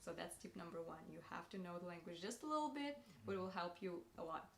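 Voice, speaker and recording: A woman's voice: lowish voice, animated, quick pace, creaky, echoey room. A young woman from Russia with a Russian accent, co-hosting a casual advice vlog and explaining fluently. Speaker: vlog co-host.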